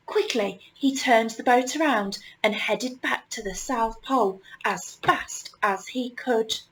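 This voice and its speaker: Woman reading a kids picture book, slightly high voice, takes her time w pauses. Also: low-quality recording. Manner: measured, with deliberate pauses. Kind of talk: children's story read-aloud.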